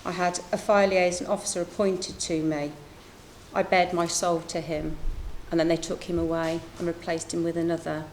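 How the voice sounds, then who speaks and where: Middle aged mum reading out her statement at a press briefing, lowish voice, noisy room behind. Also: level tone, moderate pace, a little mellow. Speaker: bereaved mother of a firefighter giving a statement. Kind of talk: reading a prepared personal statement at a media briefing.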